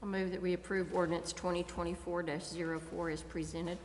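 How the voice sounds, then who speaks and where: A woman's voice: lowish voice, level tone, brisk. An American woman making formal motions as a city council member. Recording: echoey hall, faint noise.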